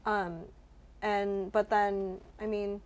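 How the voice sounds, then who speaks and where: A woman's voice: mid-range voice, level tone, extremely slow, darkish tone. A young woman from California who grew up with Korean as her first language. She talks in an easygoing, slightly hesitant way. Her sentences are loose and full of small fillers. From California, United States. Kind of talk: casual conversation.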